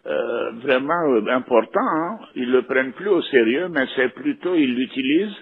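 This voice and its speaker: Husky guy chatting on a phone call, thin tinny line, repeats himself. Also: medium pitch, expressive, normal speed. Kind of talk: informal phone conversation. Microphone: telephone.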